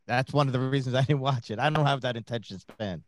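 A man's voice: mid-range voice, quick pace. A man in a small home studio, a podcast guest in easygoing casual chat over a video call. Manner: easygoing, brief agreeing replies.

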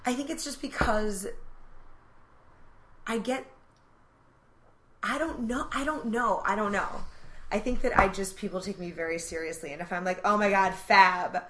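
A young woman chatting on her podcast with a bright, forward voice. She talks in a dramatic, animated way, full of fillers and restarts. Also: medium pitch, moderate pace, long pauses, slight background noise. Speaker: podcast co-host. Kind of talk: casual chat.

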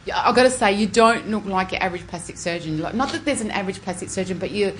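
An Australian woman hosts a casual podcast interview. She is informal and effusive, with a mid-pitched voice. There is noticeable background noise around her. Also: fast, low-quality recording.

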